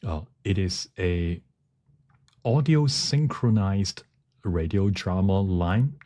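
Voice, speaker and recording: A man giving a technical presentation, speaking haltingly as he searches for words. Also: sits low, warm tone. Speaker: conference presenter. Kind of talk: technical talk with live demonstration.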